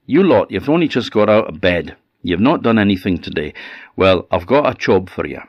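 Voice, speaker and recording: A man voicing a brisk, bossy but helpful bumblebee in a folk tale, chiding and starting with a buzz. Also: low voice, very animated, slightly rough, no room sound, highs cut off, heavily acted. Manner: buzzing lead-ins, matter-of-fact chiding. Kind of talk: oral folk storytelling.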